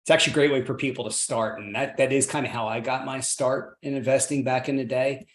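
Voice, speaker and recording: A man's voice: medium pitch, steady tone, quick pace. A man hosting a podcast interview, upbeat and encouraging, often tagging sentences with "right?". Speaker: podcast host, real estate investor.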